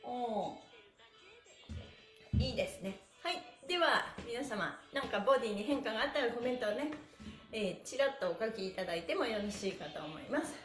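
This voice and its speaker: A woman's voice: on the high side, expressive, moderate pace, faint music behind. A middle-aged woman cheerfully leading an exercise class, calling out instructions and chanted phrases with playful politeness while she moves. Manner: cheerful, exuberant, laughing often; playfully polite, adding honorifics to body parts. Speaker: stretching instructor and pantomime performer hosting a morning livestream. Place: small indoor room, seated on a mat by a bare wall. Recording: echoey small bare room.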